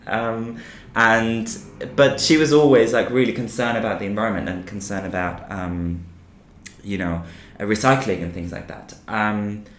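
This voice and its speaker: A man's voice: on the low side, fairly even intonation, moderate pace. Hesitant man in an echoey office. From Austria. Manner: hesitant, with many ums and restarts. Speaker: podcast co-host. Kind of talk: casual chat. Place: small echoey office by a street. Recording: echoey room.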